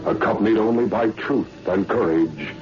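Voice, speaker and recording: Very deep, dramatic radio announcer played by a man. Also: normal speed, over music, natural voice. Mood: ominous, dramatic. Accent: American. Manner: dramatic, portentous. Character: grave, portentous male announcer of a spy serial. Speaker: narrator. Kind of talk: 1940s radio drama narration. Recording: muffled vintage radio recording.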